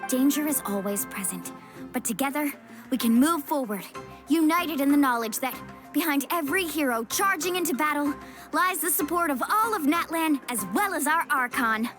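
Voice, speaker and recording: A woman's voice: sits high, lively intonation, normal speed. A woman playing a confident, supportive young female warrior in a video game, with a casual, encouraging manner. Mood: earnest. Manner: casual, encouraging, plain-spoken. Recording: music behind the voice.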